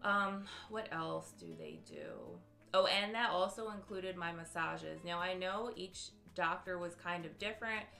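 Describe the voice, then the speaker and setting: A young woman with a lowish voice and a gravelly edge talks straight to the camera, chatty and loose with plenty of fillers. Faint music sits quietly under her voice in a small indoor room. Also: sing-song, measured pace. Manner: chatty, filler-heavy, laughs often. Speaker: vlogger. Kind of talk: casual direct-to-camera review.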